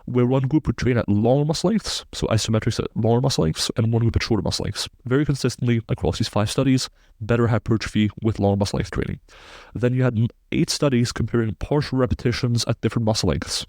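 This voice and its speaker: A man's voice: mid-range voice, fast talker, a little mellow, no echo. A man in his twenties, raised in Belgium, explaining sports science research in a precise, technical way as a podcast guest. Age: 20s.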